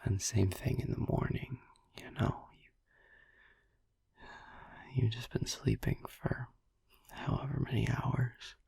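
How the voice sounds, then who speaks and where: Soothing vampire boyfriend, played by a man for sleep-aid audio: very slow, gently musing whisper, close and dry. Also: medium pitch, steady tone, dry recording, exaggerated voice. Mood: hushed, soothing. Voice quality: breathy whisper with gravelly creak. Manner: slow, hushed, gently musing with long pauses. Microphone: close microphone, dry.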